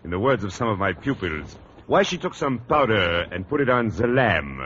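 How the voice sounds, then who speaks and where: An actor playing an earnest, courtly French teacher in a vintage radio sitcom, speaking halting English with a French accent. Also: male voice, fairly low pitch, very animated, a bit bright. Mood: earnest, eager. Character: genteel married French male schoolteacher, earnest and gallant. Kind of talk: 1940s radio sitcom acting. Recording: dull, noisy vintage radio recording.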